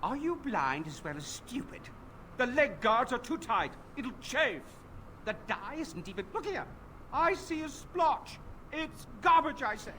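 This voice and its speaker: A man voicing a fussy, haughty, high-strung master armorer in a fantasy video game, with a dramatic, perfectionist manner. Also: big pitch swings, measured pace, pushed and bright, slight background noise, exaggerated voice. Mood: scornful, fussy.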